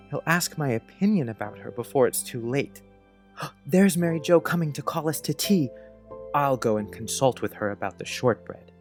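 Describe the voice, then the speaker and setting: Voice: mid-range voice, natural voice. An actor playing an earnest, precocious young schoolboy who chats dreamily in quaint grown-up phrases, in a dramatized audiobook. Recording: music under the voice.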